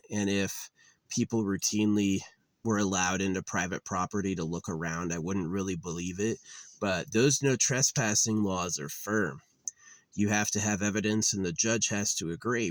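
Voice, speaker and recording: A man's voice: deep voice, dry recording. A man in his late thirties musing in a rambling, weary monologue, with lots of fillers and restarts. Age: 30s. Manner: weary, resigned. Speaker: channel host.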